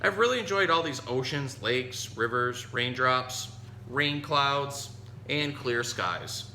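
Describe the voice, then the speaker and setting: A man's voice: mid-range voice, lively intonation, slow pace, vocal fry, sharp tone, exaggerated voice. A man voicing a short, stubby blue crayon from a picture book, loyal and grateful but worn out. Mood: grateful, weary. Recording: roomy echo, some noise.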